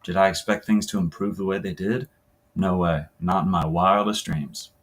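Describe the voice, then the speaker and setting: A man's voice: sits low, steady tone, normal speed, voice pushed down. A man voicing a modest, practical woman soccer forward looking back on senior year in a plainspoken, conversational interview monologue from a novel. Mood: resigned, candid. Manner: plainspoken, conversational, matter-of-fact. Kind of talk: reading an oral-history novel as interview monologue.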